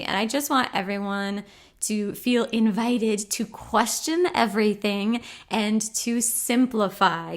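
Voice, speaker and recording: An American woman hosting a podcast in casual conversation, speaking in an earnest, emphatic way. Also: medium pitch. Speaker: podcast host.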